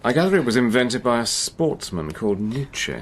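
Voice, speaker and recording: An actor playing a plain-spoken, steady British adventurer with a dry, understated manner in a radio drama. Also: male voice, mid-range voice, moderate pace, close to an everyday voice. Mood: wry. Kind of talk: radio drama dialogue and first-person narration.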